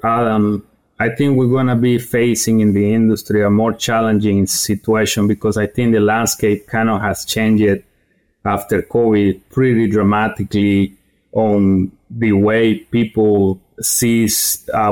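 Man, low and flat. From Guatemala. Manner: halting, many fillers and restarts. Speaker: podcast guest, feed industry director. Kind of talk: conversational interview answers.

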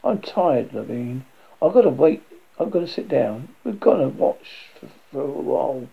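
A man playing a frightened, bookish young man in a horror story, halting and earnest. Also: mid-range voice, very animated, vocal fry, slightly rough, full character voice. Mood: weary, urgent. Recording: dull lo-fi recording.

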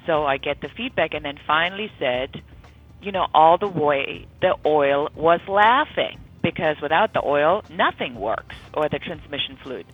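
A woman's voice: low voice, expressive, moderate pace, husky, phone-quality sound, soft background music. A woman speaking as an interview guest, explaining her ideas conversationally as an author and corporate trainer, in band-limited sound.

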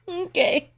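Congested woman with lively, laughing reactions. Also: very high voice. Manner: quick reactions, laughs easily. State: congested, foggy. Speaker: podcast co-host. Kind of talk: casual chat.

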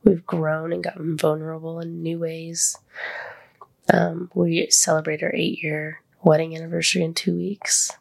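An American woman hosting a podcast in casual, candid chat, with a congested voice that sits deeper than her usual. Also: level tone, moderate pace, creaky. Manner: candid, conversational. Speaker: podcast host.

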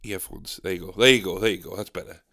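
A man with a deep, nasal, gravelly voice, stuffed up from a cold. He rambles through a solo podcast, swearing freely and laughing at his own mistakes. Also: fast talker, fairly bright tone. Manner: sweary, rambling, self-mocking. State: recovering from a cold. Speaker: podcast host. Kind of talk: casual solo podcast chat. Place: dark bedroom at a desk. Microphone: desk microphone, badly set up.

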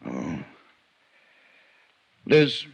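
An actor playing an uneasy, guilty attorney in a 1950s radio drama, halting and subdued. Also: male voice, very deep voice, wildly swooping pitch, vocal fry, breathy edge, full character voice. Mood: resigned confession. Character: uneasy attorney, guilty new husband, cornered but resigned. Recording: muffled 1950s radio recording.

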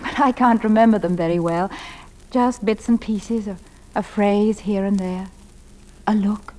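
A woman playing a wistful, loving middle-aged mother with a refined, gentle voice in 1940s radio drama style. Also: medium pitch, fairly even intonation, measured pace, close to an everyday voice, poor audio. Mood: tender, wistful. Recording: vintage 1940s radio broadcast, music behind.

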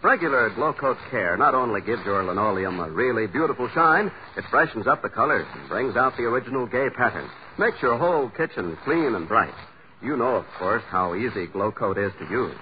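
American male announcer for vintage radio commercials, animated scripted delivery, dull old broadcast sound. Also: medium pitch, brisk. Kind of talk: scripted 1940s radio sponsor pitch. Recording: muffled old radio, faint noise.

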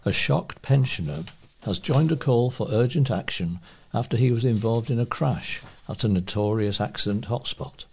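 A man's voice: medium pitch, normal speed, creaky, a little mellow, a little hiss or hum. A man reading local newspaper reports aloud as a volunteer newsreader.

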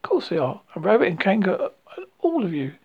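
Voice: medium pitch, huge pitch swings, fast, breathy edge, highs cut off, heavily acted. A storybook reader voicing a bossy, matter-of-fact young boy, in a plain, offhand way close to the reader's own voice. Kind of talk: storybook reading.

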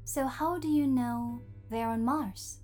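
A woman playing a cheeky, breezy, self-assured AI therapist in an audio drama, with a playful, teasing, brisk manner. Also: on the high side, warm tone, soft background music. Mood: probing.

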